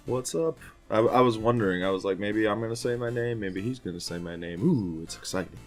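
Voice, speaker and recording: A man's voice: fairly low pitch, brisk, faint music behind. A man co-hosting a podcast, chatting casually in an informal, jokey and opinionated way. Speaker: podcast co-host. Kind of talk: casual chat about films and games.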